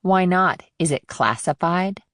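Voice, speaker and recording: A woman's voice: on the low side, animated, unhurried, fairly bright tone, dry recording, studio quality. Woman playing a blunt, inquisitive teenage girl. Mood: curious, probing. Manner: short, probing replies. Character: blunt, inquisitive fourteen-year-old girl. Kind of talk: audiobook character dialogue.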